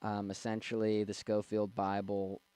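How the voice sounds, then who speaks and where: A man in his twenties with a young, slightly squeaky voice, casually rambling and answering chat on a livestream. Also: completely flat delivery, moderate pace, dark tone, clear, resonant tone, dry recording. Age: 20s. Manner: rambling, with fillers and restarts. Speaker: streamer reading chat. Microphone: desk mic.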